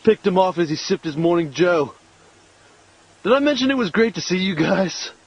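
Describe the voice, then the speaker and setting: A man's voice: high voice, animated, moderate pace, breathy edge, lo-fi sound, full character voice. A man playing a talkative young American paratrooper in a war game, chatty and wry. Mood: relieved, chatty.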